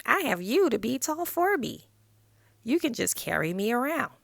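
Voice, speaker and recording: A woman voicing a stubborn, childlike little frog in a picture-book read-aloud, protesting with drawn-out emphasis. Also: a little high, big pitch swings, vocal fry, fairly bright tone, no room sound, studio quality, heavily acted.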